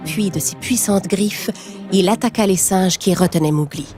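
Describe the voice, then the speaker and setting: A woman's voice: medium pitch, moderate pace. A woman storyteller reading a scripted children's tale in narration style. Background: music.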